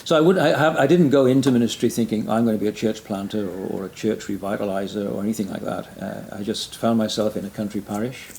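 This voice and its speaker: A man's voice: lowish voice, quick pace, hardly any pauses, slight background noise. An older man in his sixties from Wales with a Welsh accent, a retired pastor recalling his ministry in an interview. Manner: frequent backchannel mm and yeah, soft self-deprecating laughs. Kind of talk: reflective interview conversation.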